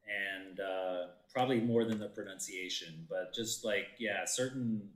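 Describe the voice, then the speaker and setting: A man's voice: low voice, measured pace, a bit bright. A man with an American accent chatting casually as an interview guest, searching for his phrases as he talks. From United States. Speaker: actor and voiceover artist, interview guest. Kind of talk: casual chat on a live interview show. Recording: roomy, echoing indoor sound.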